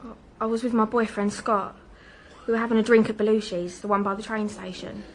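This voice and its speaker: An actress as a shaken young woman in a crime drama. Her voice is on the high side, halting and anxious, with a pleading, apologetic manner. Faint noise lies behind her. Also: fairly even intonation, natural voice. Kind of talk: naturalistic crime drama dialogue.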